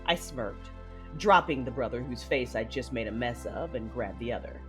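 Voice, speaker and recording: An actress playing a cold, commanding Sith mother who narrates her own story in a measured, menacing, controlled manner. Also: very deep voice, animated. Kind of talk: first-person audio drama narration with dialogue. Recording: music under the voice.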